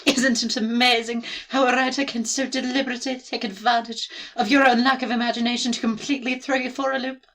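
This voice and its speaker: A woman voicing a gentle, bookish schoolgirl from a visual novel game in a put-on British accent, refined and earnest. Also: on the high side, level tone, fast talker, hardly any pauses. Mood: thoughtful, absorbed.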